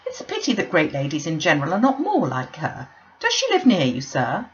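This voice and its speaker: A woman's voice: deep voice, wildly swooping pitch, brisk, lo-fi sound. A woman reading aloud, voicing a chatty, nosy middle-aged gentry mother who gushes and pries with eager questions. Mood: gushing. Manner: gushing, prying questions.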